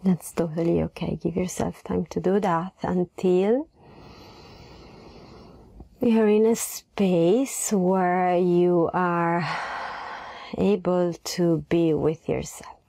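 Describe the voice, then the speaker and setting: A woman leading a guided meditation in a soft, gentle voice, unhurried and pausing between phrases. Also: on the low side, steady tone, creaky, dry recording.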